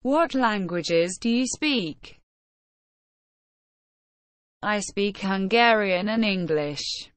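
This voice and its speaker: A woman reading short English phrases for learners, slow and careful, evenly spaced. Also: mid-range voice, expressive, smooth, no echo.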